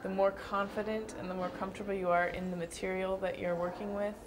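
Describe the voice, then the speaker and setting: A young woman dancer answering interview questions thoughtfully, with frequent pauses and restarts. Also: mid-range voice, little pitch movement, noisy background. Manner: thoughtful, hesitant with fillers and restarts.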